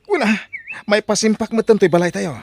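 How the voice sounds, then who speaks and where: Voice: medium pitch, a little fast, vocal fry, a little mellow, slight background noise, lightly acted. An actor playing a boastful, easygoing village husband and father with an Ilocano accent in an audio drama. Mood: boastful, upbeat.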